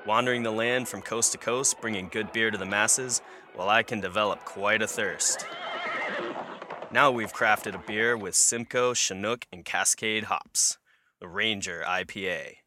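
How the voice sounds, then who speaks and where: Deep-voiced man in a radio beer ad. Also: steady tone, measured pace. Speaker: radio ad announcer. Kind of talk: scripted radio commercial. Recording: voice over ad music.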